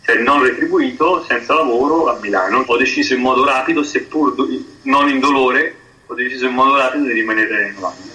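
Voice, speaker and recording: A man interviewed as a young researcher, speaking in a measured, matter-of-fact way. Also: mid-range voice, sharp tone. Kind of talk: documentary testimony. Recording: recorded from far off.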